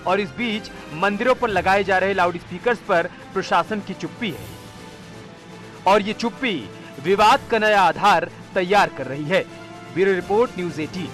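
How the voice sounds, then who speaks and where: A man narrating a television news report as a field reporter, with a measured, emphatic delivery. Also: sits high, a bit bright, faint music behind.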